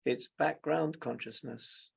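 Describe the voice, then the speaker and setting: Slow, gravelly man guiding a meditation. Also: mid-range voice. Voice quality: gravelly, husky. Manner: unhurried, short phrases with long pauses. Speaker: meditation teacher. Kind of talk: guided meditation, spoken slowly and softly.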